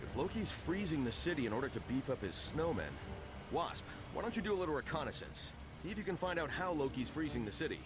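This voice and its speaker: A man playing an earnest superhero team captain in a video game cutscene, brisk and taking charge. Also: a little high, sing-song. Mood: decisive. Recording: loud street and vehicle noise behind.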